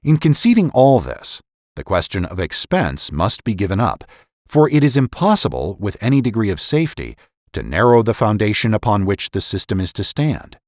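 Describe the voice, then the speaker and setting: Expressive man reading formally, very dry sound. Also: fairly low pitch, no echo. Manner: formal, deliberate, emphatic oratorical delivery. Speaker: podcast host and narrator. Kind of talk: dramatic reading of historical political essays.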